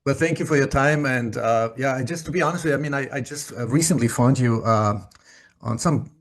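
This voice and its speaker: A man's voice: medium pitch, brisk. A middle-aged man from Iran hosting a casual interview, rambling and hesitant, often trailing off mid-thought. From Iran, later Austria and America. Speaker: podcast host.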